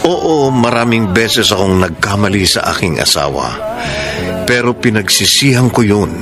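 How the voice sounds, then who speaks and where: An actor with a Filipino accent playing a remorseful, lovelorn husband in a radio drama, earnest and emotional. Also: male voice, on the low side, animated, normal speed. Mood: sorrowful, resolved. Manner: earnest, emotional, pleading delivery. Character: remorseful, lovelorn husband begging his wife to stay. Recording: music under the voice.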